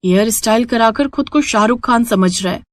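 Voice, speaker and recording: Lady as an annoyed young air hostess in a cartoon, highish voice, snippy and prim. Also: brisk, no echo, clean studio sound, natural voice.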